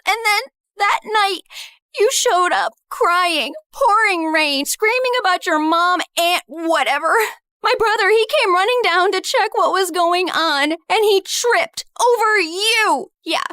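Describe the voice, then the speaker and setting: Woman voicing a spiteful young woman, very high, sharp and bright, sneering, cruel, storytelling. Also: no echo, studio quality, exaggerated voice. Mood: bitter. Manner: sneering, venomous, accusing.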